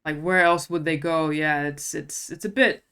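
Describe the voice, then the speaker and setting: A woman's voice: sits low, fairly even intonation, moderate pace, fairly bright tone, clear, resonant tone. A woman hosting a casual chat, retelling moments by acting out what was said. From USA. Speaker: host.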